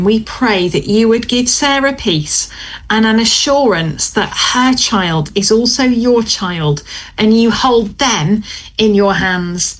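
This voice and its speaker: A woman leading a spoken prayer in a steady, earnest, measured way. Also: medium pitch, low-quality recording. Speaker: prayer leader.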